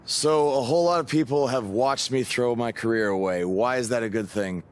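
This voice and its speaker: A man's voice: mid-range voice, normal speed, lightly acted. An actor playing a weary, sceptical young male wrestler in a video game, with a dry, hesitant, unimpressed manner. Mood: groggy, sceptical. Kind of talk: video game cutscene acting.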